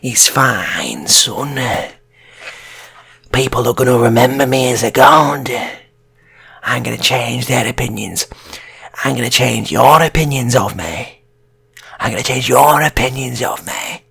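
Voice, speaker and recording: A man's voice: mid-range voice, measured pace, gravelly, fairly bright tone, breathy edge, exaggerated voice. A man voicing a manic, self-glorifying criminal in an improvised story, intense and repetitive, with a strained delivery. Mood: defiant, grandiose. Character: manic self-glorifying armed male criminal craving fame.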